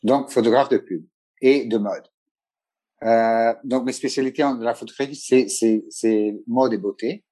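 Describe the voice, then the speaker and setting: A middle-aged man, a photographer talking as a guest in a relaxed interview, hesitating and restarting often. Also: mid-range voice, measured pace. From Italy. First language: Italian. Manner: hesitant, many restarts and fillers. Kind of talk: casual conversational interview.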